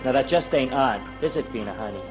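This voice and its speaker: A man's voice: medium pitch, quick pace, natural voice, rough recording. A man playing a bullying, cocky son with a drawl in an audio drama. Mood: mocking. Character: bullying, cocky undead son who plays scientist. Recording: music under the voice.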